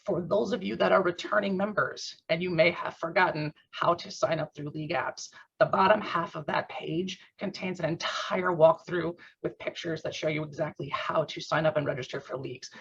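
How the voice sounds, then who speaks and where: A man explaining club updates in an online meeting, talking informally with lots of fillers, his voice rough and strained after a workout. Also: on the high side, steady tone, normal speed, hardly any pauses, a bit bright, slight background noise. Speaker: club board secretary. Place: at home on a video call. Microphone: computer mic on a video call. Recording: muffled video call audio.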